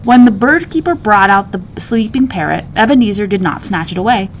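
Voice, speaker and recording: A woman's voice: medium pitch, expressive, brisk, lo-fi sound, a little hiss or hum. A woman reading a children's story aloud expressively, like a teacher to students, with casual asides.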